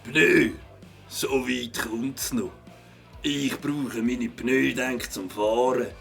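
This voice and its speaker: An actor playing a gruff, defiant pub regular and litterbug villain in a children's audio drama, with a Swiss German accent and a scoffing, dismissive manner. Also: male voice, medium pitch, steady tone, drawn-out words, a bit bright, soft background music, full character voice. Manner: scoffing, dismissive, mock-innocent.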